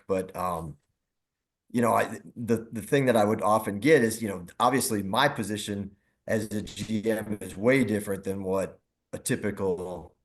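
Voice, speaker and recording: A man, a former minor league general manager, reminiscing casually as a guest in a halting, wandering, self-qualifying way. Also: lowish voice.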